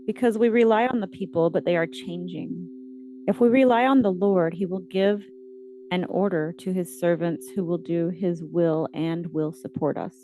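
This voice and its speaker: Woman w a lowish voice reading a guided meditation in short measured phrases, clear noisy humming tone behind her. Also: mellow. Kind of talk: scripted guided meditation narration with scripture readings.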